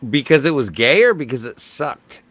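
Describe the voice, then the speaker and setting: A man's voice: medium pitch, very animated, normal speed, a bit bright. A man hosting a casual panel chat, blunt and rambling, with a jokingly self-important streak. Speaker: show host.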